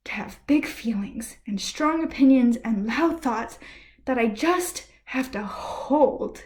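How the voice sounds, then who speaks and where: A woman vlogger speaking to camera from a room at home, giving impassioned personal commentary in a ranty, earnest way that dips into soft, breathy asides. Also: fairly high pitch. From United States.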